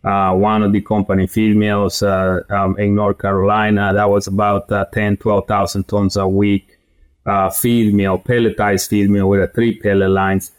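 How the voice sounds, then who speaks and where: Man, low and flat. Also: smooth. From Guatemala. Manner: halting, many fillers and restarts. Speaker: podcast guest, feed industry director. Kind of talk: conversational interview answers.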